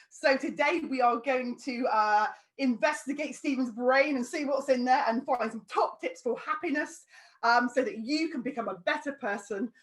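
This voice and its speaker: Effusive British woman interview host. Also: a little high. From United Kingdom. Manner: warm, effusive praise with many fillers. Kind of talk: interview hosting.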